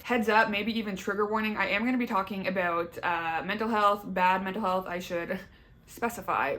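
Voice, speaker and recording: A woman's voice: mid-range voice, sharp tone. A young woman with a Canadian accent giving a casual, candid personal update to her viewers, chatty and emphatic. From Southern Ontario, Canada. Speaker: YouTuber.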